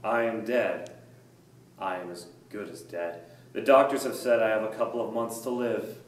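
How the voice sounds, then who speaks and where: A man's voice: on the low side, fairly even intonation, normal speed, close to an everyday voice. A man playing a dying young hypnotized patient in a staged play reading, terse, subdued and fatalistic. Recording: echoey room, distant mic, faint noise.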